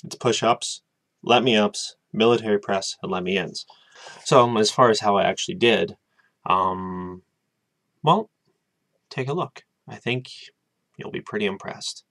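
Young male vlogger, twenties, hesitant and full of ums, very low voice, dry close sound. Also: a little slow, gravelly, long pauses, a bit bright, dry recording. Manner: rambling, hesitant, many ums. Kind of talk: casual video diary. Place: small room with bookshelves, indoors.

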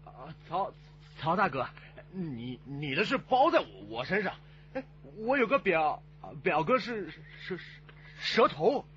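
A man's voice: high voice, very animated, unhurried, fairly bright tone, dull audio, slight background noise, heavily acted. An actor playing a nervous, bumbling male informant with a heavy stutter in a radio crime drama.

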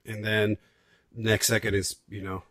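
A man's voice: lowish voice, little pitch movement, measured pace, a bit bright, no echo. A man in his forties from Puerto Rico chatting casually and expressively as a podcast host, with some swearing. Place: home room, on a video call. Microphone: large desk microphone, close.

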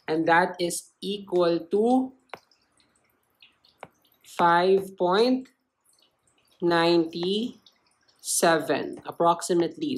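A woman's voice: fairly low pitch, low-quality recording. A woman tutor narrating a step-by-step lesson in simple, explanatory phrasing. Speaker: chemistry tutor.

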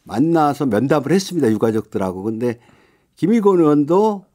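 A middle-aged man explaining things as a radio interview guest in a studio, often pausing mid-sentence. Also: fairly high pitch, very animated, mellow. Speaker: interview guest, activist group chair. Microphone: studio condenser microphone up close.

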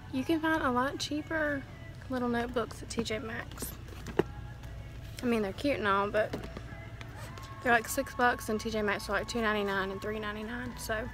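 A woman's voice: a little high, poor audio. A young woman chatting to her phone camera while browsing a large discount store. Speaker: vlogger. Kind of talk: casual shop-with-me narration. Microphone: phone camera held at arm's length.

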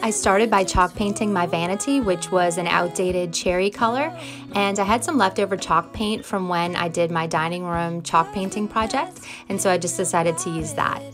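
A woman's voice: fairly low pitch, moderate pace. A middle-aged American woman giving friendly, encouraging voiceover narration as a home and cleaning vlogger, in a confiding way. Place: voice-over recorded in a closet at home. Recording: music playing under the voice. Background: background music.

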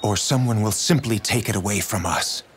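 A man playing a brooding, idealistic warlord in a video game, speaking gravely and deliberately. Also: on the low side, slight background noise, natural voice. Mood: grave resolve. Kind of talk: dubbed video game cutscene acting.

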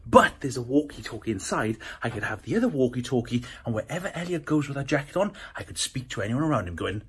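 A young British man hosting a prank vlog in a loud, excitable way, chatting to a handheld camera. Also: medium pitch, quick pace, slight background noise. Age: young adult. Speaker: prank video host. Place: small office rooms and inside a vehicle. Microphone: phone or camera at arm's length.

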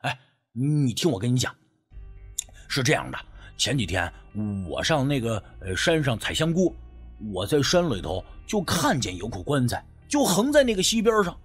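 A man's voice: medium pitch, wildly swooping pitch, moderate pace, husky, faint music behind, pitched below the performer's own voice. A man voicing a sly, teasing, superstitious friend who wheedles and drawls in an audiobook story. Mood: earnest, insisting.

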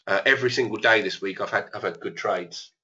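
A man hosts a live online trading session, thinking aloud as he works through his trades. His voice is a little hoarse but bright and forceful. The webinar audio sounds dull and lo-fi. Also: fairly low pitch, a little fast. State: losing his voice. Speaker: trading educator. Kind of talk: live trading room commentary.

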